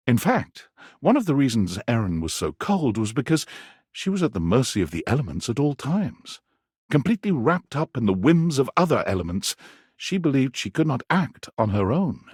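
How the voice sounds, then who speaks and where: A man's voice: mid-range voice, a little mellow, slightly rough, no room sound, studio quality. A man playing a dramatic storyteller, reading scripted second-person narration for a romance game.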